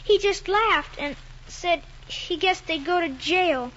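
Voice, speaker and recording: A man's voice: very high voice, slow pace, pushed and bright, heavily acted. A young male actor playing an anxious, honest country boy with a Southern American accent, hesitant and polite, in old-time radio drama style. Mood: anxious, earnest. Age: child. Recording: muffled, noisy old radio recording.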